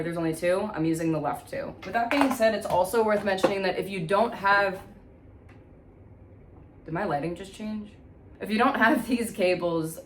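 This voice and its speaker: A woman giving a casual, chatty hands-on tutorial, informally thinking aloud as she goes. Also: medium pitch. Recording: echoey room, faint background noise.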